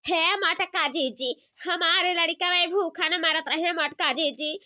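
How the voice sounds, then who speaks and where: A woman's voice: very high-pitched, unhurried, dry recording, dull audio. A woman voicing a pleading, apologetic village woman in an Awadhi comedy cartoon, whining and repeating her pleas in an Awadhi accent. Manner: repetitive begging.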